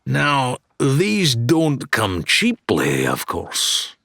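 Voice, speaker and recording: A man's voice: mid-range voice, wildly swooping pitch, drawn-out words, gravelly, fairly bright tone, no room sound, studio quality, pitched above the performer's own voice, full character voice. A man voicing a blustering, theatrical pirate weapons dealer in an audiobook, drawing out his salesman's patter with grand flourishes. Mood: sly, salesmanlike. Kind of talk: dramatised audiobook character dialogue.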